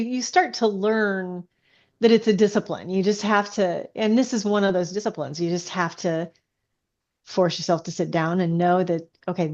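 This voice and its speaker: A woman's voice: mid-range voice, a little mellow. A woman science fiction author chatting casually as a podcast guest, often breaking off and restarting with enthusiasm. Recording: dull, lo-fi sound.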